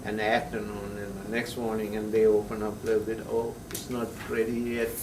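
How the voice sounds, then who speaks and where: A male chemistry professor, lecturing a class in a halting way, with many pauses and frequent checks of "right?". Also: lowish voice, completely flat delivery, recorded from a distance, noisy background. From Sri Lanka. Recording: echoey classroom heard from afar.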